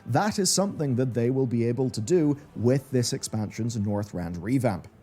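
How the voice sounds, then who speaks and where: A man's voice: medium pitch, lively intonation, normal speed, mellow, breathy edge. A man delivering scripted commentary in the style of a video essay.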